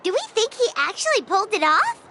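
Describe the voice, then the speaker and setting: Squeaky, bubbly little fairy sidekick. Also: female voice, sing-song, brisk, very noisy, exaggerated voice. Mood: excited. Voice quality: squeaky, childlike. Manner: exclamatory, refers to herself by name. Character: small childlike flying fairy companion, bubbly and chatty. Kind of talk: video game character dialogue.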